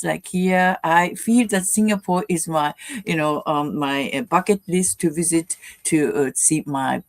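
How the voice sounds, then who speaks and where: A Japanese woman in her fifties, speaking English as a second language, gives a halting personal testimony full of fillers and restarts as a guest speaker. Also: deep voice, a little mellow, no room sound. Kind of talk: personal testimony at an online live session.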